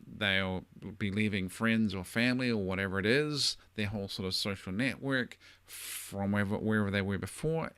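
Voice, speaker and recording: A man's voice: fairly low pitch, fairly bright tone, smooth. A middle-aged man with a New Zealand accent, hosting a podcast and giving relationship advice in a thoughtful, conversational solo monologue. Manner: thoughtful, conversational, with frequent hedging fillers.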